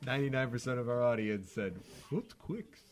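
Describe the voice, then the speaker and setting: A young man co-hosting a tipsy podcast chat, joking around and laughing often. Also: medium pitch. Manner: laughs a lot, jokey. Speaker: podcast co-host. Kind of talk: drunken casual chat.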